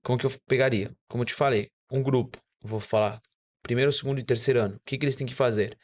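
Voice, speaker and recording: A man's voice: medium pitch, fast. Spanish-speaking man explaining plainly. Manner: animated, explanatory, with self-corrections. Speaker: doctor guest. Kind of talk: casual podcast conversation.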